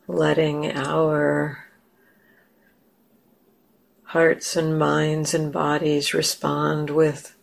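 A woman leading a guided meditation as a teacher, gentle and unhurried, leaving long pauses. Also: deep voice, vocal fry.

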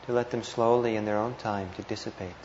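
A man with a fairly low voice leads a guided meditation in an echoey room. He speaks as a teacher, gently and a little hesitantly, leaving pauses between short phrases. The recording is somewhat muffled, with clear background noise. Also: fairly even intonation. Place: indoor meditation hall. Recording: muffled, roomy live talk with noise.

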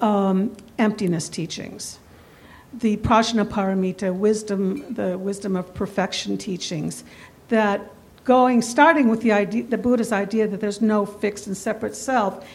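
A woman who practices Zen, asking the panel a question from the audience. She sounds earnest and a little self-deprecating, with a voice of middling pitch. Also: darkish tone. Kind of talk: question from the floor.

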